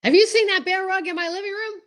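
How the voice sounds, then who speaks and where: A woman playing a silly, boastful, smitten male comic stooge in an old-time radio comedy, talking in excitable exclamations. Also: very high voice, fast talker, pitched above the performer's own voice.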